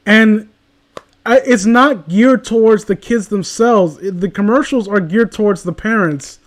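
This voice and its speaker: A man with a high-pitched voice chats casually and rants as he goes. He rambles through his opinions, exasperated and sweary. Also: a little slow. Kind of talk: casual ranting chat.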